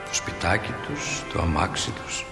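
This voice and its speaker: A man's voice: deep voice, big pitch swings, over music. A man playing a reflective, wry small-town narrator in a stage play, addressing the audience in a folksy, musing way.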